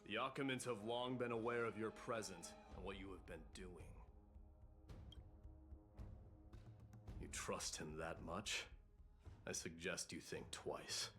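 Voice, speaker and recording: Male actor as haughty warrior prince, low voice, blunt, formal, video game drama, loud music underneath. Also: fairly even intonation, moderate pace, close to an everyday voice. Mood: cold, suspicious. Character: proud, gruff warrior prince, heir of a warlike kingdom.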